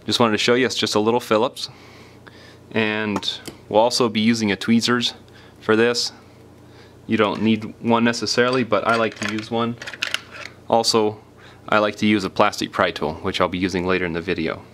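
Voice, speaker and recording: A man's voice: lowish voice, flat delivery, normal speed, a bit bright, slight background noise. A man hosting a do-it-yourself tutorial, explaining each step in a plain, informal way as he demonstrates. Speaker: repair tutorial host. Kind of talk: casual step-by-step hands-on demonstration.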